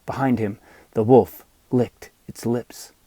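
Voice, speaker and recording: A man narrating scripted fiction as an audiobook reader, with measured, dramatic storytelling. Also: mid-range voice, steady tone, dark tone, husky, no room sound.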